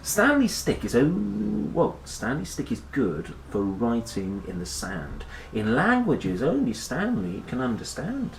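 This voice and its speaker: A man reading a children's picture book aloud in a playful, expressive manner, with chatty asides. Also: medium pitch, gravelly. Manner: playful, expressive, dramatic pauses and exclamations. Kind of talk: animated storybook read-aloud with chatty asides. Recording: roomy echo, faint background noise.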